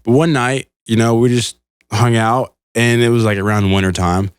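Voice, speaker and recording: A man's voice: medium pitch, fairly even intonation, normal speed, clean tone, no echo, studio quality. A young man co-hosting a podcast, chatting and telling stories in a laid-back, slangy way. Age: young adult. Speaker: podcast co-host. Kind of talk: casual storytelling chat.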